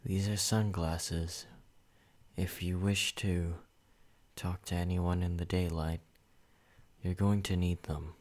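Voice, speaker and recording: A man voicing a possessive yandere vampire in an ASMR roleplay, speaking softly and intimately, sometimes whispering. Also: deep voice, level tone. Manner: soft, intimate, slow and controlling, slipping into whispers. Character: possessive male yandere vampire, obsessive and menacing.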